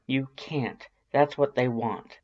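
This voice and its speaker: A man's voice: mid-range voice, takes it slowly, a little mellow, lo-fi sound. A man voicing a worried human who pleads firmly and urgently in a story reading. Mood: urgent pleading. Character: worried, firm human pleading with a monster friend.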